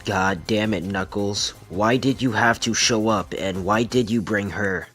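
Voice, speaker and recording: A man's voice: lowish voice, a little slow, fairly bright tone, soft background music. A man voicing a stern, irritable, vengeful hedgehog leader in an amateur comic dub, curt and scolding. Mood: fed up.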